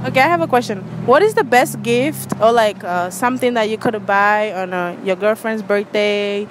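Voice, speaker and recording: A woman's voice: medium pitch, normal speed. A young woman doing a street prank interview, chatting with strangers and filling her questions with "like" and "um". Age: young adult. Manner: chatty, lots of "like" and "um" fillers. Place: outdoors and in a shopping mall, by storefronts and a parking lot. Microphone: handheld phone held out to people. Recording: noisy street and mall background.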